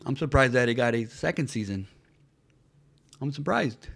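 A man's voice: medium pitch, lively intonation, normal speed, gravelly, a bit bright, breathy edge. A man giving mocking commentary on entertainment news, sarcastic and fond of repeated rhetorical questions. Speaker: commentary channel host.

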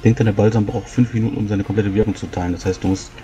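A man's voice: lowish voice, steady tone, very fast, mellow, soft background music. A man explaining tabletop game rules in a matter-of-fact way. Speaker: role-playing game player.

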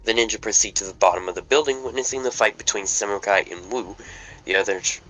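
A man's voice: lowish voice, fast talker, fairly bright tone, low-quality recording. A man reading the stage directions aloud as narrator of a table read, in a plain, matter-of-fact way. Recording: music under the voice.

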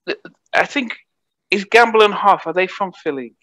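Um homem apresentador fazendo perguntas amigáveis e um pouco hesitantes numa entrevista, usando microfone de headset. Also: male voice, high-pitched, lively intonation, moderate pace, sharp tone, dry recording. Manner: friendly, hesitant questioning. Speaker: podcast host and interviewer. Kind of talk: interview questions. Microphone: headset mic.

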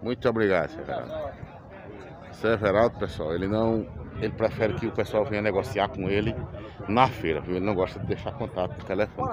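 A middle-aged man, chatting casually to viewers as he walks through outdoor livestock pens. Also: sits low. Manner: chatty, pointing things out to viewers. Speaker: vlogger visiting a cattle fair. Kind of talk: casual on-the-spot commentary. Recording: busy outdoor crowd noise. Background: crowd chatter.

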